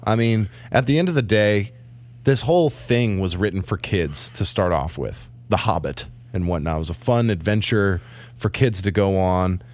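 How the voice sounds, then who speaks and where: A man's voice: on the low side, moderate pace, darkish tone. A man in a casual podcast chat, joking and laughing through his lines, with lots of "you know".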